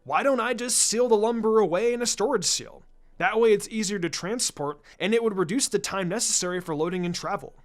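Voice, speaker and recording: Guy doing a hyper teen ninja boy for a story, brash n informal, highish voice. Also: a little fast, soft background music, close to an everyday voice. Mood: confident. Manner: excitable, argumentative, informal. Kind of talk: storybook-style character reading.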